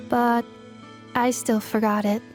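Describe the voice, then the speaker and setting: A woman voicing a calm, literal-minded young female game character with a measured, formal, matter-of-fact delivery. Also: fairly high pitch, music in the background. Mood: flat, earnest. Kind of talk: video game voice acting.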